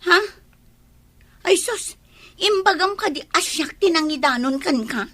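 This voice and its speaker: A woman's voice: extremely high pitch, expressive, a little slow, bright, forceful tone, no echo, full character voice. An actress with an Ilocano Filipino accent playing a nosy, tale-bearing village woman in a comedy radio drama. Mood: curious.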